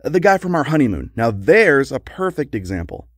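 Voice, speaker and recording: An actor playing a worried, long-suffering husband of a secret superheroine in an audio comedy drama. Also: male voice, mid-range voice, very animated, moderate pace, no echo, studio quality. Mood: wry, worried.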